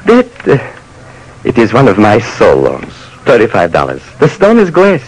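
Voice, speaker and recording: Earnest old pawnbroker, wildly swooping voice. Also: male voice, medium pitch, huge pitch swings, moderate pace, husky, full character voice, low-quality recording. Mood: hesitant. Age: older. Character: poor old pawnbroker who lends money on souls. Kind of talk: old-time radio drama acting. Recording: vintage radio broadcast.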